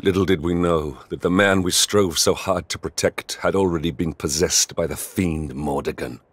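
Low, solemn knight general. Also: male voice, steady tone, normal speed, dry recording. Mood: solemn regret. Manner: formal, solemn, grave delivery. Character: stern, remorseful male knight general, mature, noble and brooding. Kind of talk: video game cutscene acting.

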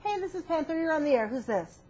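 A woman's voice: sits high, big pitch swings, fast talker. A middle-aged woman hosting a live call-in show in a casual, blunt and unflustered way. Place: small TV studio. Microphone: lapel mic. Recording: noisy TV audio.

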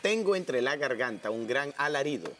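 Comedian guy teasing his buddy, bright tone. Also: mid-range voice, sing-song, normal speed, a little hiss or hum. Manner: teasing, laughing asides. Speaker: comedian contestant. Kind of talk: joking studio game banter. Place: TV studio set.

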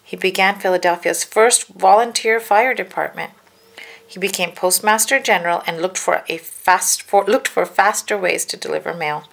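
A woman reading a children's storybook aloud, with careful, deliberate phrasing for young listeners. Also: on the low side, vocal fry, a bit bright. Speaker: children's book reader. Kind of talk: read-aloud storybook narration.

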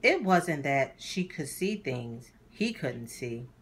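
A woman hosting a children's read-aloud, narrating a storybook in a deliberate, animated way for young listeners. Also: extremely low pitch, breathy edge.

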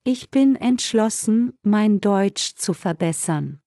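A woman slowly reading German example sentences for learners with careful, measured delivery. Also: medium pitch, animated, warm tone, no echo, studio quality. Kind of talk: slow, clear model sentences for learners.

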